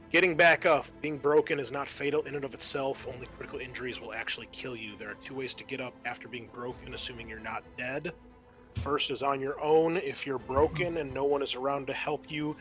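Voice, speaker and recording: Bright-voiced man running a tabletop game. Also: mid-range voice. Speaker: game master. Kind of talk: running a tabletop roleplaying game, explaining rules. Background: background music.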